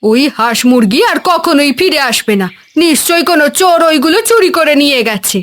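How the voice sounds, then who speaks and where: A woman's voice: sits high, lively intonation, a little fast, fairly bright tone. A woman voicing a spiteful village sister-in-law in a cartoon, snappish and mocking.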